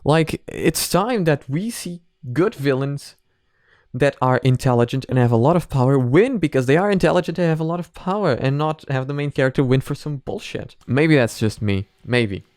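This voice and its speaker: A man's voice: a little high, lively intonation, moderate pace, a little mellow, dry recording, studio quality. A young man giving casual, off-the-cuff commentary as a video host, opinionated and emphatic with some mild swearing.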